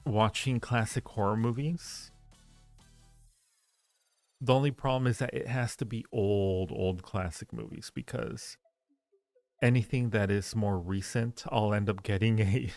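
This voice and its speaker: A man hosting a casual livestream, musing aloud in fragments as he talks with viewers in a gravelly voice. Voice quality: low-pitched, gravelly creak. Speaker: livestream host reading viewer chat.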